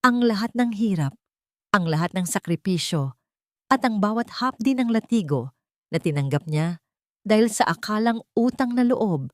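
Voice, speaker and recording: A woman's voice: lowish voice, darkish tone, no echo, studio quality. A woman reading scripted fiction aloud as a storyteller, in a dramatic narration style.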